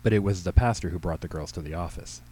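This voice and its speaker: Man playing a patient, mellow male cop. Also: deep voice, fast, slight background noise, close to an everyday voice, rough recording. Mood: weary, puzzled. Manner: businesslike, questioning, dry. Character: patient, weary male small-town police officer.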